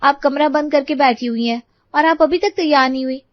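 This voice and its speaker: A woman voices a young daughter-in-law from an animated story. The voice is high, eager and sweetly insistent. The recording is dry and slightly dull. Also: a little fast, no echo, lightly acted. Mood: scolding. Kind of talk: animated moral story dialogue.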